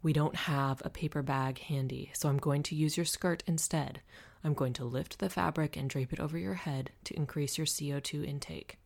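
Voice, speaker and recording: A woman voicing a calm, firm, protective man in an audiobook, speaking in a steady murmur. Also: low voice, normal speed, gravelly.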